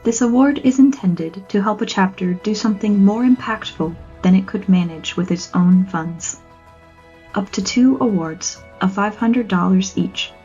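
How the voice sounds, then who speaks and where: Female ceremony presenter, scripted narration, dark relaxed tone, music underneath. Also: medium pitch. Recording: muffled lo-fi audio, music behind.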